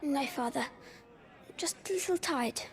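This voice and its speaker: High-voiced, gentle young boy heir. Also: measured pace, crowd noise, noisy background. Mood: subdued. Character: gentle, weary young boy heir, troubled by his gift. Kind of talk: video game drama acting.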